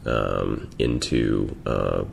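A man hosting a podcast interview, asking questions in a relaxed, conversational way. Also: extremely low pitch, extremely slow, creaky, slight background noise. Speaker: podcast host. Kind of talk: casual interview.

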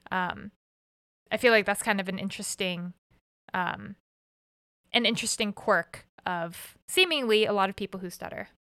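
Bright, crisp woman asking curious questions. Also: mid-range voice, no room sound, studio quality. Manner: curious, asks questions, thinks aloud with fillers. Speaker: podcast host reading listener posts. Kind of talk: casual podcast chat.

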